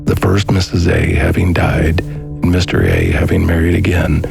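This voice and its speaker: A man reading a scripted documentary narration in a measured, formal manner. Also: sits low, little pitch movement, gravelly. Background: music.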